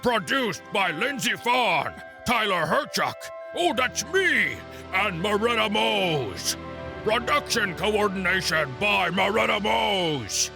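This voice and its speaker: A man's voice: high voice, expressive, slow pace, creaky, over music. A man announcing scripted credits in a playful radio style.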